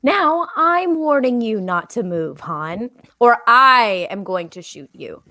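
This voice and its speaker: A woman's voice: on the high side, expressive, takes it slowly, pushed and bright, no echo, exaggerated voice. A woman playing a fed-up, blunt alien co-pilot in a comedic script read. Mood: blunt, warning. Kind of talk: comedic live table read of a film script.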